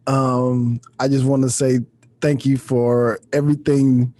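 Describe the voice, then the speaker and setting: A man's voice: mid-range voice, level tone, measured pace, mellow. A man hosting a podcast in casual chat, very informal and slangy, swearing freely. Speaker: podcast host.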